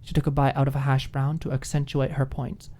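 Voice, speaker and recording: Low, mellow woman narrating fiction. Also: completely flat delivery, a little fast, slight background noise. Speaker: audiobook narrator. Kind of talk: expressive scripted fiction narration, ASMR audiobook.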